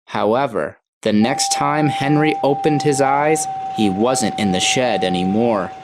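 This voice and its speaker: A man's voice: mid-range voice, measured pace, heavy background noise. A man reading scripted storybook narration as the narrator of a children's tale.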